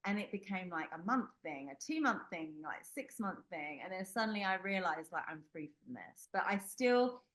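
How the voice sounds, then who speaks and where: A woman's voice: fairly low pitch, lively intonation, normal speed, few pauses, a bit bright, low-quality recording. A young adult woman from the United Kingdom, a guest telling a personal story in an interview, candid and rambling with many fillers and restarts. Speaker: podcast guest.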